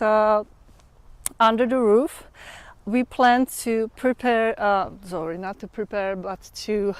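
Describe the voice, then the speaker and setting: Slow-spoken Czech woman, hesitant English. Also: medium pitch, clean tone, dry recording. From Czech Republic. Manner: hesitant, searching for English words with many fillers. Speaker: livestream host. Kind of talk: casual livestream chat with viewers.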